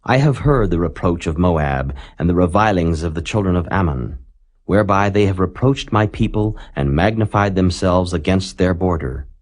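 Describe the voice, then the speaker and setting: Deep-voiced man reading scripture solemnly. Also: animated. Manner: solemn, measured, dramatic rises on warnings. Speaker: Bible reader.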